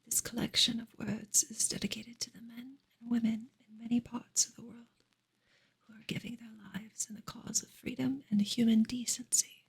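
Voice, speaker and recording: A woman reading a book aloud for ASMR in a soft, breathy, unhurried whisper. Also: fairly high pitch, little pitch movement, poor audio. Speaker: ASMR reader and streamer.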